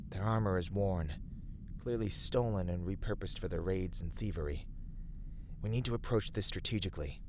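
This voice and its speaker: A man playing a steady, righteous fantasy adventurer in an ASMR roleplay, speaking to a companion in measured, slightly formal, encouraging words. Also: deep voice, background noise. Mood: hushed, tactical. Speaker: voice actor in a roleplay. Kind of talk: scripted ASMR fantasy roleplay addressed to the listener.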